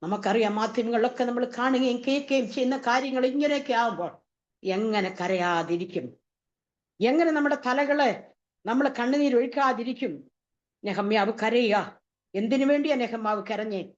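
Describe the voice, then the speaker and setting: Female preacher, fervent and repetitive, slightly high voice, muffled lo-fi recording. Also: moderate pace. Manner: impassioned, repeats rhetorical questions. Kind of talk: sermon. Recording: dull lo-fi recording.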